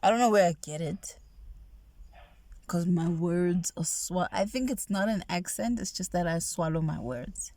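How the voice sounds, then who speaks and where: Nasal lady rambling soft asmr style, slow and creaky, drifts into whispers, dry close sound. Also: on the low side, lively intonation, no echo. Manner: rambling, restarting sentences. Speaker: ASMR creator.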